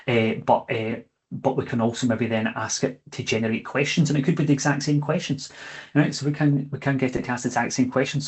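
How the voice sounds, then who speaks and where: A man talking through an online teacher training session at an ordinary mid pitch. He speaks conversationally, checking in with his listeners and pausing on "eh" as he thinks. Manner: conversational, checks in with "okay?" and fills pauses with "eh". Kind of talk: online webinar presentation.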